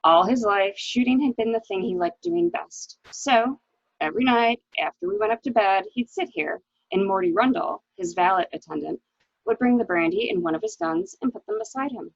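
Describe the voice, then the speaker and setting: A woman playing a bitter, expressive cornered wife. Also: mid-range voice, moderate pace, gravelly, no room sound. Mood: resigned, bitter. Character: resigned, bitter wife caught beside her murdered husband. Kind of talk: dramatic reading of a murder mystery. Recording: dull, lo-fi audio.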